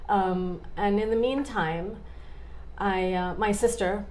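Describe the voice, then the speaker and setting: A woman in her forties, a guest in a casual interview, talking in a halting way with many fillers and restarts. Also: medium pitch, darkish tone, a little hiss or hum, rough recording. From born in Australia, raised in India and Canada.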